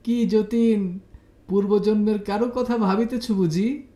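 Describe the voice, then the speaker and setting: A man's voice: high-pitched, fairly even intonation, warm tone, echoey room, voice pushed up. A man voicing a cheerful, teasing young woman who banters and mock-scolds her cousin in an audio story. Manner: bantering, mock-scolding, rapid-fire reproaches.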